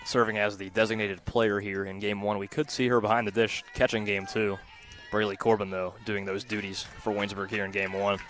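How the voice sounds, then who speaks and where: Low-voiced male softball color commentator. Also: flat delivery, fairly quick, few pauses. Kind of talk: conversational radio sports analysis.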